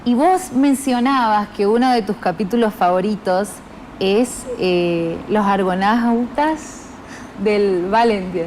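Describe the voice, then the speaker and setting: Argentine woman hosting a book chat, keeps saying uh-huh, mid pitch, faint noise. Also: slow pace, a little mellow, poor audio. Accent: Argentine Spanish. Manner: attentive, gives frequent short backchannels. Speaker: interviewer host. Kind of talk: interview conversation.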